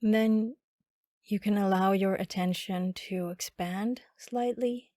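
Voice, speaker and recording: A woman guiding a body scan meditation in a calm, instructional manner with long pauses. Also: medium pitch, no room sound, studio quality. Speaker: meditation guide.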